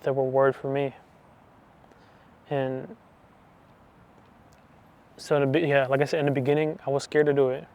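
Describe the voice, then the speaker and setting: A man in his twenties giving a personal faith testimony, talking softly and hesitantly with many restarts. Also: medium pitch, flat delivery, normal speed, long pauses, dull audio. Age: 20s. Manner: soft, hesitant, full of restarts and fillers. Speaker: channel host giving testimony.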